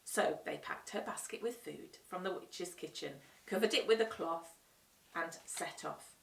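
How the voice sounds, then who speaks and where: A woman reading a children's storybook aloud with expressive narration. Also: lowish voice, a little slow, gravelly, far from the mic. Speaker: storytime reader. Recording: roomy echo, away from the mic.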